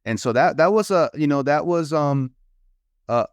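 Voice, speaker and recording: A middle-aged man from New York tells stories as a podcast guest in an unhurried, reflective way. He drops in Spanish phrases and leans on "you know." His voice is smooth and resonant, and it sounds close and dry. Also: a little high, lively intonation, dry recording. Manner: reflective storyteller who slips into Spanish, many "you know" fillers. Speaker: guest, author and consultant. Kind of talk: casual interview talk.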